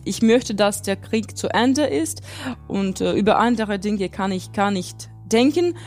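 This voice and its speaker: A woman's voice: medium pitch, expressive, measured pace, a little mellow, faint music behind. Earnest woman. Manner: hesitant, many fillers, earnest. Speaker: interviewee, interpreter. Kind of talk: casual interview answers.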